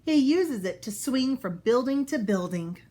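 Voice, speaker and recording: A woman reading a children's book aloud as a storyteller, expressive and pausing to explain things for young listeners. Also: on the high side.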